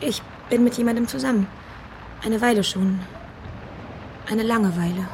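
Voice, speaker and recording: Female actor, anxious young woman, lightly high pitch, halting delivery, polite, searching, radio drama. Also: a little mellow, a little hiss or hum, natural voice, rough recording. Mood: hesitant, polite. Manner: hesitant, halting. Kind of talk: German radio drama acting.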